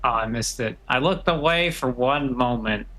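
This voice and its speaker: Bright-voiced man chatting casually. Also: medium pitch, normal speed. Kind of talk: casual livestream chat.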